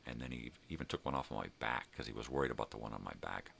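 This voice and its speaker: An older man with a very deep, gravelly voice chats casually in a rambling, drawn-out way, as a vlog host. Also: a bit bright, slight background noise. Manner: rambling, drawn-out, mild swearing.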